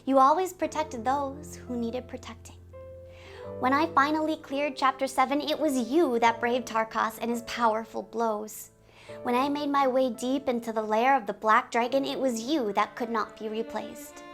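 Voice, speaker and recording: A woman hosting a game community video series, speaking to viewers in an animated, giggly, presenter's way. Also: on the high side, moderate pace, faint music behind. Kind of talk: upbeat scripted video presenting with ad-libbed asides.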